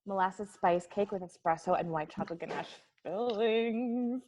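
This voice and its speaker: A woman's voice: mid-range voice, moderate pace, a little mellow, muffled recording, slight background noise, lightly acted. An actress playing an earnest, blunt young woman with a probing, insistent manner in a comedic script read. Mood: curious. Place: recorded remotely at home on a video call.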